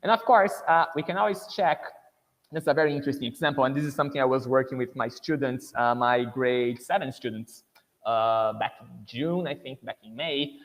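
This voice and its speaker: A man from Brazil, speaking with a Brazilian Portuguese accent, presents an English-teaching webinar in a relaxed, conversational way. His voice sits at an ordinary middle pitch, and he peppers his points with "you know" and "right". The computer-mic audio carries a long reverb tail. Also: brisk. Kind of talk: webinar lecture.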